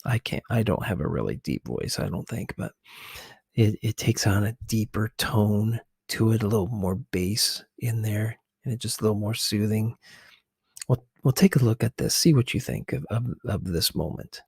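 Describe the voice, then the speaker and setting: Guy doing soft asmr commentary, kinda low n breathy, hesitant, no room sound. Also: animated, moderate pace, no room sound, clean studio sound. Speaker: host of ASMR video channel.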